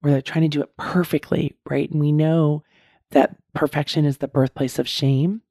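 A middle-aged woman hosting her own coaching podcast, her voice hoarse and strained, talking earnestly and repeating key points slowly. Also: low voice, level tone, mellow, no echo, studio quality. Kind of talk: casual solo podcast talk.

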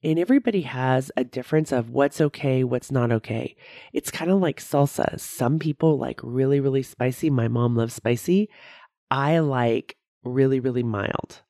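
A woman of about fifty hosts her own podcast from a studio, speaking in a dark, mellow tone. She has a head cold and talks conversationally, often tossing in "right?" as she coaches her listeners. The sound is close and dry with no room around it. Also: extremely low pitch, normal speed, dry recording. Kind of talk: solo podcast monologue. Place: recording studio.

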